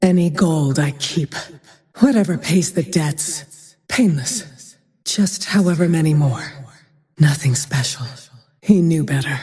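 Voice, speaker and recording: An actress voicing a grim, vengeful frost archer in a video game, with cold, terse, menacing delivery and a breathy tone that sometimes drops to a whisper. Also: female voice, deep voice, lively intonation, slow pace, warm tone. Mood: grim disdain. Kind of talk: video game character voice lines. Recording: long cavernous reverb tail.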